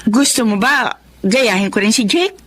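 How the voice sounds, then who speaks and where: A woman's voice: a little high, measured pace, slight background noise. An actress playing a blunt, exasperated young Filipino woman who bosses her friends into making peace in a radio drama. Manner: blunt, animated, exclaiming.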